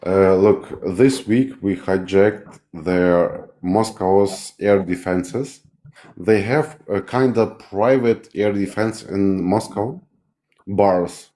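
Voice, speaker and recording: A man in his thirties from Ukraine, a guest in an online debate, talking haltingly with many fillers and restarts over a voice call. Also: deep voice, dark tone. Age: 30s. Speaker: panel guest.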